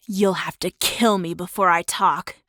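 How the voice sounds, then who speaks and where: A woman's voice: fairly low pitch, fairly even intonation, unhurried, fairly bright tone, no room sound, clean studio sound. An actress playing a bitter, defiant young woman standing up to a villain in an audio drama. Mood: angry defiance.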